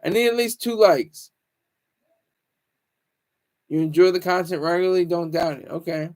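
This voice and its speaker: A man's voice: sits high, moderate pace, a little mellow. A man hosting a casual livestream, rambling, teasing viewers and stumbling over restarts. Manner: stammers and restarts often. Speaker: livestream host.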